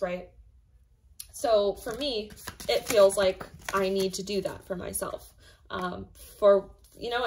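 A woman with a slightly raspy voice, casually chatting through a tarot reading as the host of her own channel, full of fillers and emphatic asides. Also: mid-range voice, slight background noise.

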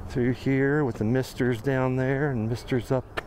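A man with an American accent walks a construction site outdoors, talking casually to his camera and thinking aloud with plenty of pauses and fillers. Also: medium pitch, level tone, darkish tone, poor audio. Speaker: videographer on a walking site tour. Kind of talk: casual walking commentary. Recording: outdoor camera audio with noticeable background noise.

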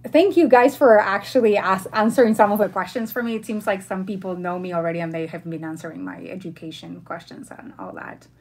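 A woman in her thirties with a mid-pitched voice hosts a relaxed livestream from home. She is from Argentina and talks informally, addressing viewers like friends as she answers their questions. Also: fairly quick, hardly any pauses. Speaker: livestream host. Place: bedroom at home, indoors.

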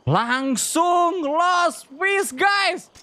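A man's voice: extremely high pitch, expressive, very slow, pushed and bright, raised voice. A man commentating on game roleplay in a slangy, exclamatory way, often repeating words. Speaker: gaming video creator and host.

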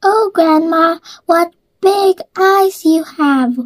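Young boy as high-pitched, curious little girl. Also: slow. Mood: amazed. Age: child.

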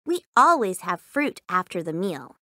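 A woman's voice: lowish voice, sing-song, measured pace, pushed and bright, no echo, studio quality, heavily acted. A woman voicing a cheerful young schoolgirl, reading scripted textbook lines with careful, simple enunciation. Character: cheerful young Japanese schoolgirl describing meals.

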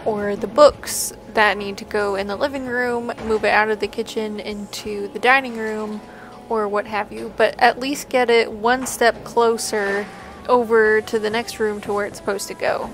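A woman's voice: medium pitch, fairly even intonation, moderate pace, slight background noise. A woman chatting casually in an upbeat way as she narrates a home vlog. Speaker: vlogger, host. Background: music.

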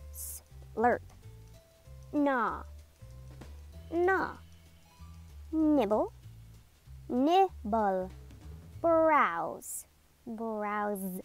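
Lady doing a kids read-aloud, super slow n careful, high voice, music playing behind. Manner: slow, deliberate enunciation with animated emphasis. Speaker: read-aloud host for children. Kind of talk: children's picture book read-aloud, sounding out words.

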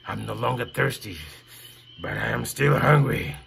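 A man storyteller voicing a hungry male lion who threatens to eat a boy, speaking low and menacingly. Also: normal speed, vocal fry, a crowd in the background.

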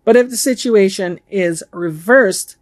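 Woman, medium pitch, measured instructional delivery, explainer video host, recorded indoors at home. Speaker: amateur radio video host. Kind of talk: explanatory talk to camera. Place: home room with bookshelves, indoors.

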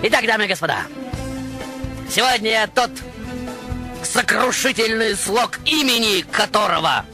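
A man's voice: high-pitched, expressive, moderate pace, long pauses, pushed and bright. A man hosting a radio show with a theatrical monologue, grandiose and playful in his delivery. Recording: radio show over prominent music. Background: music.

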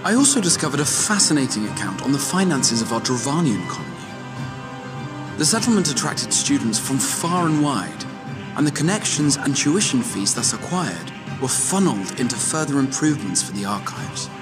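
Man acting as formal young scholar, measured and articulate, animated intonation, video game drama, background score. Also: mid-range voice, music in the background, natural voice. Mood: measured, probing. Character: scholarly, measured young male catfolk investigator addressing a council.

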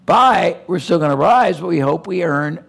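An older man giving a keynote on a conference stage, talking in an animated way with quick digressions and restarts. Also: a little high, gravelly, husky. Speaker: keynote speaker. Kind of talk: conference keynote in seated interview format. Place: conference stage before a live audience.

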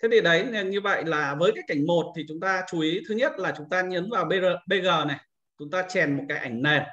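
A man's voice: high voice, quick pace, bright, forceful tone, lo-fi sound. A man giving an informal screen-recorded tutorial as an instructor, explaining things step by step and often repeating himself.

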